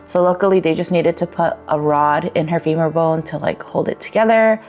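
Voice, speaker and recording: A young woman giving a candid personal vlog update, rambling a little with fillers, her voice slightly raspy. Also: deep voice, fairly quick, darkish tone, soft background music. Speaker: vlogger.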